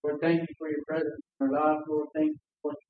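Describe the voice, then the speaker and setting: A man's voice: on the high side, little pitch movement, a little hiss or hum. Man praying over a tinny line. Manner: halting, repetitive "Lord" addresses. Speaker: church member leading prayer. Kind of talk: spoken prayer. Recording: tinny phone-like sound with faint music.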